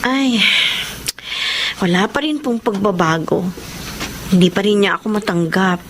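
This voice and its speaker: A woman acting a gentle, devoted young wife in a Filipino radio drama, respectful and eager to please. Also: mid-range voice, lively intonation, a little slow, slight background noise, close to an everyday voice. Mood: affectionate.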